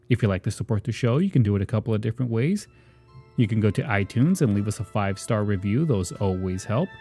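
A man's voice: on the low side, a little mellow. A man hosting a podcast with casual, conversational commentary. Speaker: podcast host. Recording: music behind the voice. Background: faint music.

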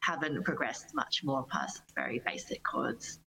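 A woman giving considered, measured answers in a conversational interview as a singer-songwriter guest. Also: rumbling low, sing-song, bright, forceful tone, breathy edge, rough recording. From Australia.

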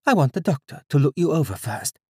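A man's voice: a little high, very animated, a little fast, mellow, breathy edge, dry recording, studio quality, voice pushed up. A man voicing a composed, guarded woman who speaks soothingly and firmly in an audiobook. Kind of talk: audiobook character dialogue.

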